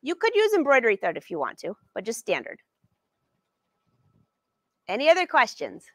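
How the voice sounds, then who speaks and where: Brief: woman in her 40s, sewing teacher on a livestream, friendly and chatty, slightly high voice, dry close sound. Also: wildly swooping pitch, normal speed, fairly bright tone, no echo. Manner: chatty and upbeat, addresses viewers by name. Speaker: sewing educator and brand ambassador. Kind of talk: live-streamed sewing lesson answering viewer questions. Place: sewing studio indoors.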